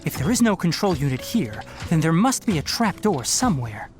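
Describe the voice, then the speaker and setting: A man's voice: fairly high pitch, big pitch swings, a little fast. A man voicing a composed, analytical young male forest ranger and scholar in a video game. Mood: calm. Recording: game audio with faint music.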